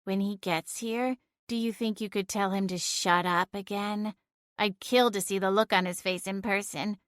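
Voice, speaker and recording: A woman voicing a sassy female talking cat with a wry, quick, teasing delivery, heard in a storybook reading. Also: mid-range voice, steady tone, no echo, clean studio sound, natural voice.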